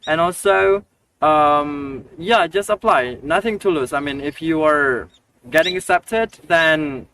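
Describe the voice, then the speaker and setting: A man from Indonesia, giving hesitant advice as an interview guest, with many pauses and restarts. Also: fairly high pitch, pushed and bright. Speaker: scholarship recipient guest. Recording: distant mic with faint noise.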